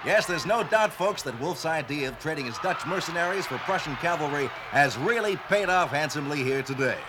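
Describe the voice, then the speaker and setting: A man playing an excitable TV sportscaster who gives brash, booming play-by-play. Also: a little fast, background noise, close to an everyday voice. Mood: excited. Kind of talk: mock sports commentary.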